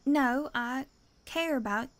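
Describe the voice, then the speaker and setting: A woman performs a small-town teacher in an audio drama. Her voice is notably high for a woman. She comes across as guarded and defensive yet tender, choosing wordy, earnest phrases with some hesitation. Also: slow. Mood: defensive, hurt.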